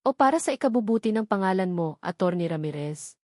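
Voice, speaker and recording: A woman's voice: medium pitch, lively intonation, a little fast, a little mellow, no echo, close to an everyday voice. Woman as young policewoman, proud, bitter, confrontational, audio drama, dry sound. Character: proud, embittered young policewoman in a forced marriage.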